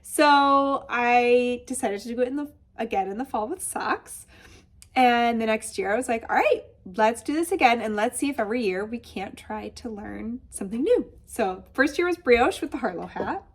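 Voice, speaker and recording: A woman with a Michigan accent chats bubbly and casually about her craft, full of asides. Her voice sits fairly high and comes out congested and a little nasal, like someone with a cold. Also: lively intonation, normal speed. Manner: chatty, bubbly, with many asides. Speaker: knitting podcast host. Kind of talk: casual chat.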